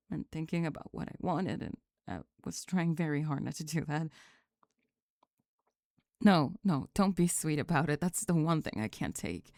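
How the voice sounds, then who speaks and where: A woman voicing a sulky young goth bully who is flustered and secretly lovestruck, halting and sighing in an ASMR roleplay. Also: sits low, a little mellow, dry recording, clean studio sound, pitched below the performer's own voice. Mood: vulnerable, then embarrassed. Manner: halting, sighing, grudgingly tender and defensive. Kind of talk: ASMR roleplay drama, spoken to the listener.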